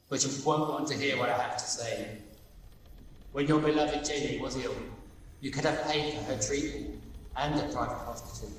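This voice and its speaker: A man playing the solemn ghost of a dead business partner in a radio play, speaking gravely and deliberately with portentous weight. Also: medium pitch, lightly acted. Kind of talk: amateur radio play acting. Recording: very echoey, distant, faint noise.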